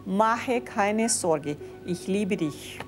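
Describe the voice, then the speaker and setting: A woman with a foreign accent answers in an interview. She is non-native, speaking very slowly and haltingly and repeating words. Soft music sits behind her. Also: mid-range voice, animated. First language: not German.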